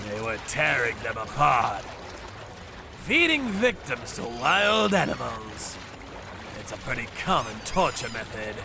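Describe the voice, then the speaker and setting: A man's voice: medium pitch, sing-song, a little slow, gravelly, background noise. A man voicing a ruthless underworld avenger in a manga dub, menacing and theatrical as he narrates. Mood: grim narration. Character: ruthless male underworld avenger narrating his torture jobs. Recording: background music under voice.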